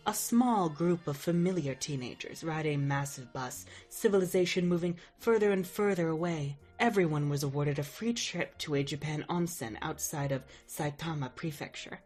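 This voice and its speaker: A mysterious otherworldly host speaks in calm, ominous tones, played by a woman. The voice sits very low for a woman and unhurried, with faint music underneath. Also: lightly acted. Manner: calm, ominous, theatrical hosting. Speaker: story host.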